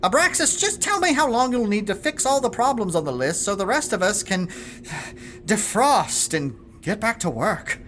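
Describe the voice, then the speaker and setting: A woman's voice: medium pitch, big pitch swings, moderate pace, fairly bright tone, a little hiss or hum. A woman playing an exasperated, sarcastic staff manager in an audio drama, with a clipped, indignant manner. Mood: impatient.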